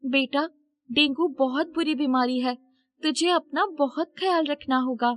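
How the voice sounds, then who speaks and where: A woman's voice: high voice, measured pace, pitched above the performer's own voice. A woman voicing a devoted, worried poor young mother in a cartoon moral story, earnest and pleading. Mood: anxious, caring.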